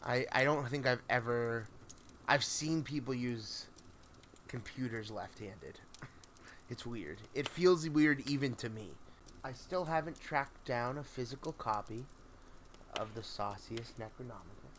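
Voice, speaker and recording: Rambling man streaming over steady background noise. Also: medium pitch. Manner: rambling, halting, thinking out loud. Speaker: host of a spooky story reading stream. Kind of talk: casual livestream chat.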